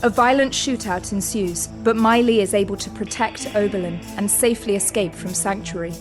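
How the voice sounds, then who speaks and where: A woman narrating an audio drama recap in a measured, steady storytelling voice. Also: mid-range voice. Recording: music under the narration.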